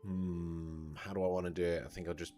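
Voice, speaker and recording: A low-voiced man with a slightly croaky, scratchy throat talks through his work in a live-stream tutorial. He muses aloud with fillers and restarts, in an easy, casual way. Faint music plays softly behind him. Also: level tone, fairly quick. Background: soft background music.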